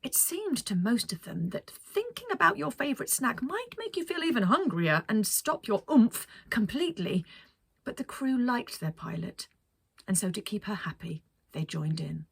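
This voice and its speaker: A woman reading a children's story as a storyteller, expressive, with dramatic pauses. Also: mid-range voice, creaky, breathy edge, no room sound. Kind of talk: scripted children's story narration.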